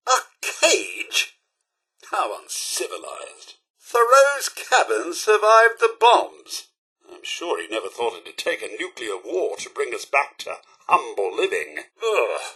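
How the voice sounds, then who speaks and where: A man's voice: a little high, bright, forceful tone, slightly rough, full character voice. A man voicing a prim, fussy, courteous robot butler in a video game, with a British accent and a polite, theatrical manner. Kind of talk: video game companion voice lines.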